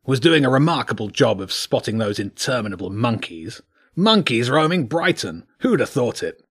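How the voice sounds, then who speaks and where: Gruff badger monarch for a children's audiobook, performed by a man: husky, imperious, terse, highly animated delivery. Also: normal speed. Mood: calmer, brisk. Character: gruff, commanding old badger king, menacing. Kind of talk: audiobook character voice.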